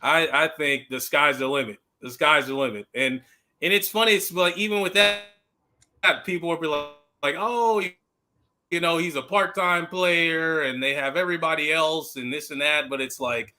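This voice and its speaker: A man in a casual sports chat, talking as a fan panelist in an animated, slangy way with emphatic repetition. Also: on the high side, normal speed. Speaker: football fan podcast panelist.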